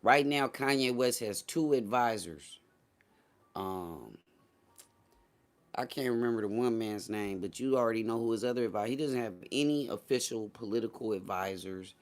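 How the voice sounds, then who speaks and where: A man's voice: fairly low pitch, moderate pace. A man hosting a livestream, giving casual political commentary to his chat in slangy, profane, conversational talk and repeating phrases for emphasis. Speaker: livestream host.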